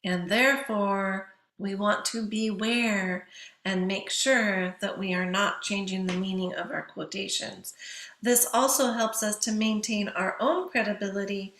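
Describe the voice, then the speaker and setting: A woman's voice: mid-range voice, fairly even intonation, measured pace, a bit bright, roomy sound. A woman giving an instructional writing lecture in a didactic way, posing questions to listeners and answering them herself.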